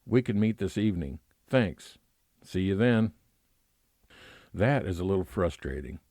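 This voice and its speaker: A man playing a weary, nostalgic private investigator, narrating an old-time radio detective drama in a measured, reflective way. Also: sits low, animated, mellow, dry recording, clean studio sound, exaggerated voice. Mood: businesslike, friendly.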